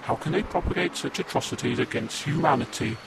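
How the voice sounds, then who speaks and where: A man's voice: medium pitch, brisk, noisy background, natural voice. A man voicing a troubled renegade Cyberman who speaks earnestly and questioningly.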